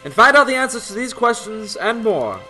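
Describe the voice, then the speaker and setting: A man's voice: sits high, sing-song, a little fast, pushed and bright, faint music behind, heavily acted, rough recording. A man playing an ominous, theatrical announcer of an old-time radio serial. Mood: ominous, grand. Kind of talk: 1940s radio narration.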